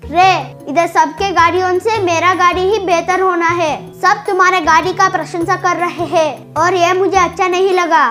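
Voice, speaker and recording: A performer voicing a whiny, excitable young schoolboy in a cartoon story, with music underneath. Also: very high-pitched, moderate pace, few pauses. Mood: angry, demanding.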